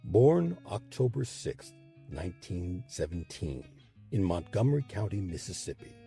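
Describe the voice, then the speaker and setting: Man, scripted history narration, low husky voice, lively pitch swings, faint music behind. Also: unhurried. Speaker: documentary narrator and series host.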